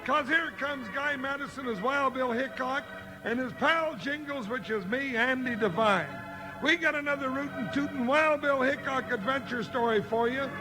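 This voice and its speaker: A man's voice: very high voice, normal speed, music in the background. An American man playing a jolly, reluctant cowboy sidekick in a 1950s radio Western, with folksy, drawling Old West talk. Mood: excited, jolly. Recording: noisy, crackly 1950s radio recording.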